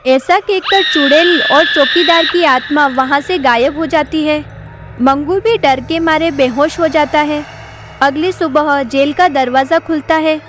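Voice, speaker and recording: A woman's voice: sits high, measured pace. A woman narrating a scripted horror story. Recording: background music.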